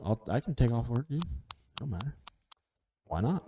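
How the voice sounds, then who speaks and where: A man's voice: mid-range voice, very animated, normal speed, dark tone, a little hiss or hum. Joking laid-back podcast guy. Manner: laughing, joking banter. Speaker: podcast co-host. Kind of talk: casual chat.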